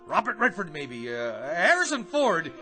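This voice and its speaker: A very bright, forceful, high-pitched male hack writer in a radio drama. He is eager and pushy, stumbling over his streetwise slang as his voice swings up and down over faint music. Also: normal speed, heavily acted. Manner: excitable, stammering, streetwise slang.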